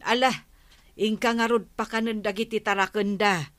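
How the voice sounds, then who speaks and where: A woman's voice: medium pitch, steady tone, normal speed, natural voice. A woman playing a chatty, sympathetic village wife in an Ilocano radio comedy drama, with an Ilocano Filipino accent. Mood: explaining. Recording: clear background noise, clicks.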